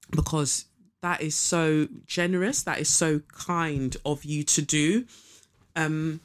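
A woman hosting a podcast in a casual, rambling way, full of ums and the odd swear word. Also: low-pitched. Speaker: podcast host. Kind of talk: casual spiritual podcast chat.

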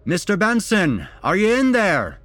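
A male reader gives voice to a male police officer in an audiobook. He is a jovial tease who jokes and mutters his way through night duty, pitched up from the narration, with music underneath. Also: wildly swooping pitch, normal speed. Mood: calling out. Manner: joking, muttering, blasé. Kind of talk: audiobook character dialogue.

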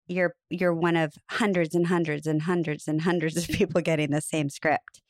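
A woman with a low, smooth, clear voice co-hosts a podcast in casual chat. She is chatty and self-deprecating, recorded close and dry. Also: dry recording. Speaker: podcast co-host, actress.

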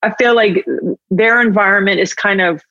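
A woman's voice: medium pitch, a bit bright, dry recording. Lady hosting a podcast, chatty, stop-start sentences, dry and a bit dull sounding audio. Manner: informal, halting, self-interrupting, encouraging. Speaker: podcast host. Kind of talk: casual conversational interview.

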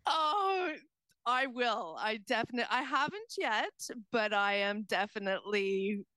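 A woman's voice: fairly high pitch, animated, unhurried, pushed and bright, no room sound, clean studio sound. A woman chatting casually on air as a community reporter.

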